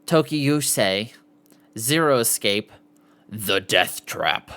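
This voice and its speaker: A man's voice: lowish voice, expressive, slow, pushed and bright. A man hosting a casual live podcast, reading aloud and sometimes stumbling over the words. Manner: stumbles over foreign names, sounding them out. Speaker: podcast host.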